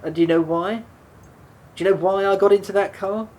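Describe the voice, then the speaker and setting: A man's voice: a little fast, natural voice. An actor in a radio play playing a remorseful, defensive man who speaks hesitantly, stumbling and repeating himself. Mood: defensive, regretful. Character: remorseful, defensive man haunted by a fatal drunk-driving crash. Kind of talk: naturalistic radio play dialogue. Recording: steady background noise, low rumble.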